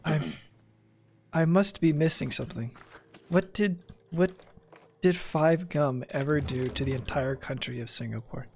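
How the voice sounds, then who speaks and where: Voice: medium pitch, a little slow, gravelly, a little mellow, slight background noise. A gamer chatting casually during play, with playful, incredulous questions. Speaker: streamer playing a video game. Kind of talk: casual gaming chat.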